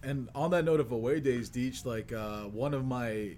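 A man's voice: medium pitch, moderate pace, a little mellow, slight background noise. A man from the US East Coast hosting a casual conversation in an informal, slangy, earnest way. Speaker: podcast host.

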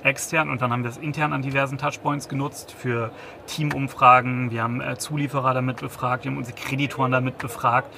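A man's voice: mid-range voice, flat delivery, quick pace, creaky, noisy background. A man in his thirties, a company founder, giving a business interview, hesitant, with many restarts and fillers. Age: 30s.

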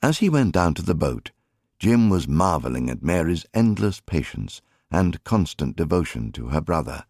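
A man narrating an audiobook as scripted literary fiction, in an expressive storytelling manner. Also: low-pitched, moderate pace, slightly rough, dry recording, clean studio sound.

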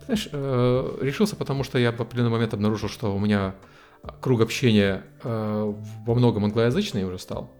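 A man's voice: medium pitch, fairly quick, darkish tone, faint music behind. A man chatting casually as a guest, hesitant with many fillers. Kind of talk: casual conversation. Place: living in the United States.

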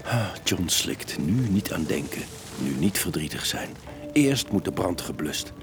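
A man's voice: low-pitched, very animated, measured pace. A man narrating a children's audio story in a dramatic, suspenseful, scripted style. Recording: music bed behind the narration.

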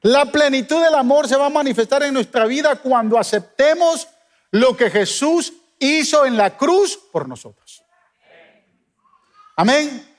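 A Guatemalan man preaching a sermon as pastor, with emphatic rises and sudden soft drops. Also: very high-pitched, expressive, measured pace, big-room sound. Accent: Guatemalan Spanish. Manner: emphatic preaching, swings between loud peaks and soft drops.

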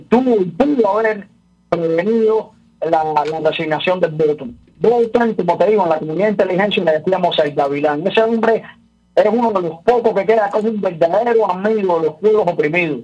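A man's voice: sits high, a little fast, breathy edge, lo-fi sound, soft background music. A man calling in to a radio show as a political commentator, repeating words and restarting his sentences.

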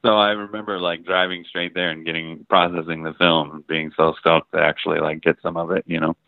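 A low-pitched middle-aged American man from the Midwest tells stories in a loose, filler-heavy way, swearing casually as he goes. His voice comes through a narrow, phone-like line with a dry sound. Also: flat delivery, a little fast, vocal fry, dry recording. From Ohio and Michigan, US. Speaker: guest photographer. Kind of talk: casual interview chat.

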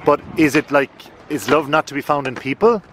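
A man's voice: a little high, brisk, noisy background. A man hosting a podcast, asking casual, probing questions with many fillers out on the street. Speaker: podcast host. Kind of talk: street interview. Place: outdoors on a street.

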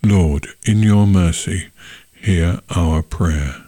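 A man speaks as a church prayer leader in a fairly low, mellow voice. His delivery is very slow and solemn, with measured phrases suited to a sermon or intercessory prayer. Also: dry recording, clean studio sound.

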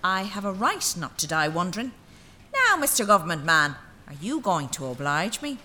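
A sharp, defiant young Irish woman. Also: fairly low pitch, big pitch swings, moderate pace. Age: young adult. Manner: blunt, exasperated, insistent. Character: defiant, blunt young daughter, scornful of her mother. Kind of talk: audio drama acting.

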